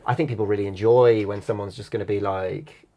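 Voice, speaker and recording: A man who grew up in Singapore, a comedian guest in casual podcast chat, animated and quick to swear and laugh. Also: lowish voice. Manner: animated, swears freely, breaks into laughing bits.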